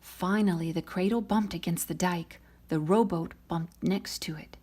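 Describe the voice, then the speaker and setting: A woman reading a children's storybook aloud in an expressive, dramatic manner. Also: on the low side, normal speed, breathy edge. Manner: dramatic, voices the characters' lines. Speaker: storybook reader.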